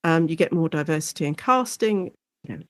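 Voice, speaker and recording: A woman guest academic explaining her subject in a conversational interview, chatty and animated, thinking aloud as she goes. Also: low-pitched, fairly quick, no echo.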